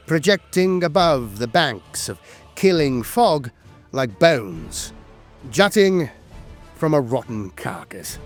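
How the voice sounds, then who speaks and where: Man narrating dramatically over music, lively pitch. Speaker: narrator of a lore channel. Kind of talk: dramatic scripted lore narration.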